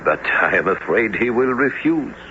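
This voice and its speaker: Low-voiced, thoughtful professor narrator. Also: male voice, very animated, very noisy. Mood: measured. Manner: literary, measured. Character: thoughtful, measured professor narrating his sea adventure. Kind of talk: dramatic first-person radio narration. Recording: muffled old radio broadcast with music.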